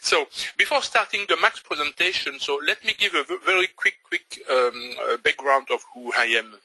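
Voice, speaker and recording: An older man with a Belgian French accent speaks in a forceful, tense tone with a husky edge. He presents a webinar slowly and haltingly, still searching for words in English. The sound is dry and close, picked up by a computer microphone. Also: fairly high pitch, no room sound, poor audio. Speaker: trading instructor.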